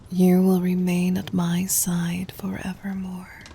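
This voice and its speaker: A woman performs a gently dominant demon queen in an intimate ASMR roleplay. She whispers in a dark, mellow tone with a crackly edge, tender and regal toward her lover. A faint noise sits behind her. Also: lowish voice, monotone, slow pace. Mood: devoted. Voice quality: soft, breathy whisper. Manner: tender, formal, regal phrasing.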